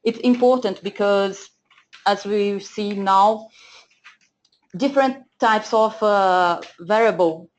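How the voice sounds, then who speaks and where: A woman's voice: medium pitch, takes it slowly, poor audio. A woman with a Portuguese accent giving a lesson over shared slides, speaking hesitantly with many fillers and restarts. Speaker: data analytics trainer. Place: screen-share presentation, voice off camera.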